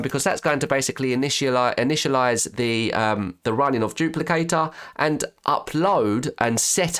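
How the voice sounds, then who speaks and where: A man with a stuffy nose and slightly croaky voice, chatting through a software tutorial and correcting himself as he clicks along. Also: medium pitch. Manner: chatty, restarts and self-corrects while clicking. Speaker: web design tutor. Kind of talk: screen-recorded software tutorial.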